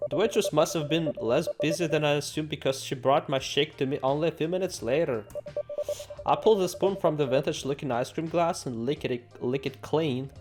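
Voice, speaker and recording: A man's voice: fairly high pitch, brisk. A man doing game playthrough commentary, reading the story text aloud with theatrical, sarcastic asides. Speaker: gaming video host. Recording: music behind the voice.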